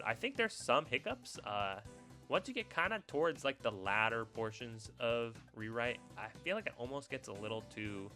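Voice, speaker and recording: A man with a lowish, bright and forward voice gives an off-the-cuff review. He rambles and hesitates as he thinks aloud, with music faintly behind him. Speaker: YouTuber reviewing visual novels. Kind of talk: casual unscripted review commentary.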